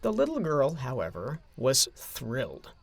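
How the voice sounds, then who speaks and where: A man's voice: mid-range voice, huge pitch swings, unhurried, creaky, breathy edge. A man narrating a scripted story as an audio drama narrator.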